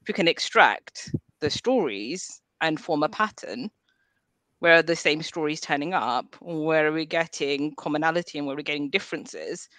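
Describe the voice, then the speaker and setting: A woman speaking as a panel guest on a video call, explaining her work in a calm, measured way. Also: sits low, dry recording. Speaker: panel guest, civil service culture specialist.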